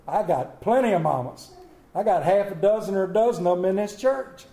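A man preaching a Mother's Day sermon, folksy and storytelling, with dramatic slow pauses on quoted lines. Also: sits high, mellow. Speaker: pastor. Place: church sanctuary. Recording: echoey church room.

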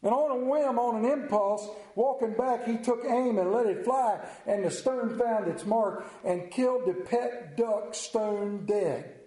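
Male preacher, Central Maryland accent, folksy storytelling sermon, mellow voice, echoey sanctuary. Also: high voice, a little slow. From Central Maryland, United States. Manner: folksy, tells stories with personal asides.

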